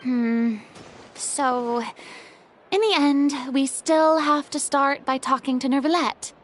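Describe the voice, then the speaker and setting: Haughty, high-pitched theatrical young diva. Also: female voice, takes it slowly. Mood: musing, businesslike. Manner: haughty, dramatic, self-important. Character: proud, theatrical young female star actress turned director. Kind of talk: animated video game voice acting. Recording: background music under the voice.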